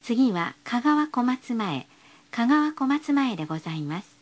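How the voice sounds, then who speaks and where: A woman's voice: fairly high pitch, very animated, unhurried. A woman reading scripted bus stop announcements in a polite, formal, carefully enunciated way.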